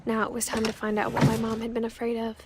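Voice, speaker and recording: A woman's voice: on the high side, monotone, fast, heavy background noise, lightly acted. A young woman playing a reflective teenage girl who recalls her family in wistful, understated game narration. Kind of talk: scripted first-person game narration.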